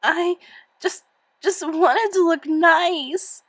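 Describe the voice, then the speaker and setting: A woman's voice: extremely high pitch, extremely slow, a bit bright, no room sound, pitched above the performer's own voice, full character voice. A woman reading a storybook and voicing an upset teenage girl who talks on the edge of sobbing. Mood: pleading. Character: upset teenage girl, tearful and self-conscious.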